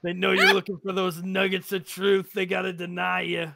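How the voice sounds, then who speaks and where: A man's voice: high voice, monotone, moderate pace, sharp tone, no room sound. A man giving casual, speculative commentary as a co-host, with a wry, teasing manner. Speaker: reaction show co-host. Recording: clear background noise. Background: audio of the horror video being watched.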